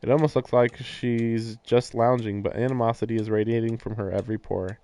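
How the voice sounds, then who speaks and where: A man's voice: mid-range voice, steady tone, a little fast, dark tone. A man reading a visual novel's narration aloud on a stream, breaking into casual commentary.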